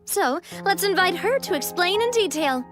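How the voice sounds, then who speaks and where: A bubbly, showy young woman from a video game. Her voice is high and very lively, playful and chatty. Light music sits behind it. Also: normal speed. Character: bubbly, theatrical young woman who loves the spotlight.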